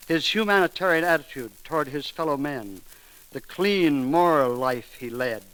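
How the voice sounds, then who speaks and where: A man reading a formal memorial eulogy on 1930s radio, solemn and oratorical with deliberate phrasing. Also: fairly high pitch, fairly bright tone. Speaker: film studio manager giving eulogy. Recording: crackly 1930s radio broadcast recording.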